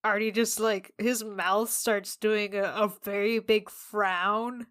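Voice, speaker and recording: A woman's voice: mid-range voice, fairly even intonation, slow, a bit bright. A woman playing a tabletop roleplaying game, talking in casual banter.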